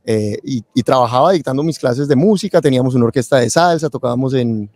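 A man speaks as a guest in a casual interview. His voice is mellow and relaxed, at a middling pitch. The sound is dry and close to the microphone. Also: fast talker, dry recording. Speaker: interview guest, tax lawyer and accountant. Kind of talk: casual interview, telling own story. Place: convention event booth, indoors. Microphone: close boom-arm microphone with headset.

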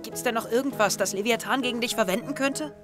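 A man's voice: extremely high pitch, fast talker, music in the background. A young man playing a brooding, suspicious teenage schoolboy in a German audio drama, with a hesitant, introspective manner. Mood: wary. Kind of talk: naturalistic audio drama dialogue and monologue.

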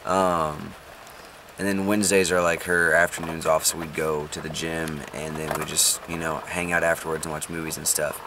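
A man's voice: extremely low pitch, level tone, normal speed. A young man chatting casually to the camera from inside his parked van, rambling with lots of ums and likes. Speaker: vlogger. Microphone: camera close up. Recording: faint rain and vehicle noise.

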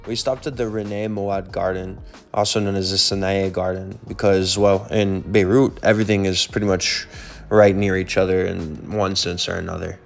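Deep-voiced man narrating a travel vlog. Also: level tone, normal speed, creaky, soft background music. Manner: informative, easygoing, with casual fillers.

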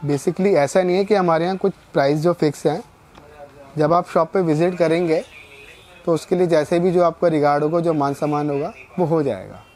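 Middle-aged shop guy explaining prices, kinda high but mellow voice, bit of faint noise, small shop. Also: moderate pace. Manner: steady, explanatory salesman's patter. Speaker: wedding card shopkeeper. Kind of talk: showing products and prices to a customer.

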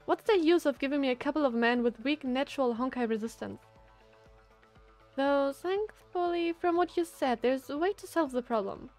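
A fiery young woman scientist from a visual novel, acted out by a female streamer. Her voice is high, emphatic and proud, with a bossy, indignant edge. Faint music sits behind. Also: moderate pace, a little mellow, lightly acted. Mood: exasperated.

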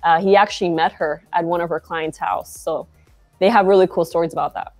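A woman's voice: fairly low pitch, fairly even intonation, brisk, a little mellow, faint music behind. A young woman from Argentina, a founder guest on a video call, talking casually with many fillers. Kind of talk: casual interview chat. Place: bright shop interior, video call.

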